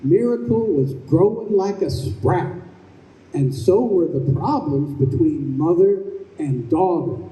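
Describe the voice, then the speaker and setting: A man playing the measured storyteller of an old-time radio play, reading scripted narration. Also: on the high side, animated, warm tone. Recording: echoey live stage.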